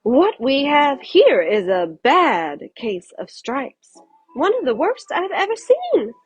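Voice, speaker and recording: A woman voicing a sweet, kindly old woman from a children's storybook, with a bright, reassuring manner. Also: high voice, huge pitch swings, slow, highs cut off, soft background music, pitched above the performer's own voice, full character voice. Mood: concerned, helpful. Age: older.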